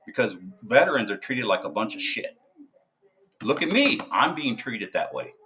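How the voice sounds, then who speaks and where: A veteran man talking to camera in a casual monologue, halting and hesitant, full of filler sounds and restarts, blunt and profane. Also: medium pitch, big pitch swings, pushed and bright, slight background noise. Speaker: military veteran.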